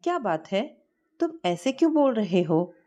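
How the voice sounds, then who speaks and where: A woman as an emotional young sweetheart, lively pitch. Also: a little slow, highs cut off. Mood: anxious. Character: anxious, emotional young woman in love, quick to flare up. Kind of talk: audio storybook dialogue.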